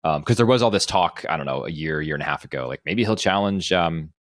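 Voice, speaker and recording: A man's voice: deep voice, animated, fast talker, dry recording, studio quality. A man hosting a podcast in casual, bantering chat. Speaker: podcast host.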